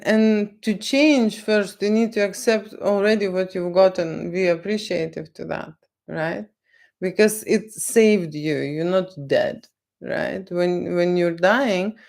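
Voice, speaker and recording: A woman with a Lithuanian accent, not a native English speaker, answering viewers' questions on a livestream in a slow, lecturing way and tagging "okay?" onto her points. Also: on the low side, fairly even intonation, creaky, darkish tone. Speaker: photographer on a livestream. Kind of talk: live Q&A chat with viewers.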